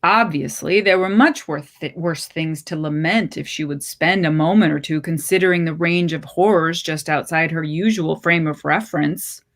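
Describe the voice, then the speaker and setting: A woman narrates a literary short story in a slightly low voice. She phrases deliberately, giving each clause its own measured space. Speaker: story reader.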